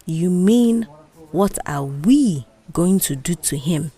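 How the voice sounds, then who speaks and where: A woman's voice: lowish voice, expressive, slow, mellow, breathy edge, full character voice. A Nigerian woman voicing a bold, scheming female office worker who protects and reassures her friend in an audio drama. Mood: sly, confident. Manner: confident, reassuring, mischievous.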